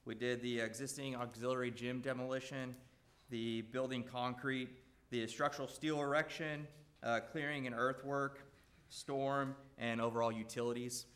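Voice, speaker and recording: A man from a construction company presenting bid recommendations at a school board meeting, speaking loudly and steadily with frequent fillers. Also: mid-range voice, moderate pace. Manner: loud, steady, even delivery with frequent "uh".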